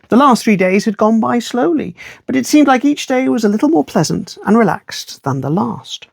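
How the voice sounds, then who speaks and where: A man reading a children's story aloud in an expressive storytime style, with wry asides to the listener. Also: sits high, creaky, no room sound, studio quality.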